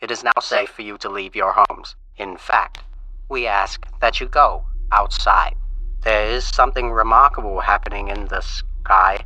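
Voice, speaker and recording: A man playing a calm, eerily polite emergency broadcast announcer, formal and controlled, in an audio drama. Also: lowish voice, unhurried, low-quality recording. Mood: calm, ominous. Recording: loud noise over broadcast.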